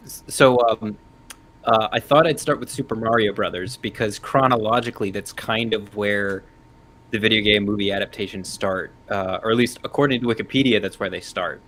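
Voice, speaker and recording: A man's voice: on the low side, normal speed, a bit bright, slight background noise. A man in his thirties giving analytical, unscripted panel commentary in a hesitant, thinking-aloud way. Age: 30s.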